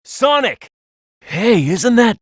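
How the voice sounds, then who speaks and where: A tough young male echidna hero from a video game, cocky and quick to flare up. The pitch swings very widely in an emphatic delivery. The recording is close and dry, with no room sound. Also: big pitch swings, breathy edge, dry recording, full character voice. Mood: excited, urgent. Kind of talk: video game character voice lines, tutorial hints and battle shouts.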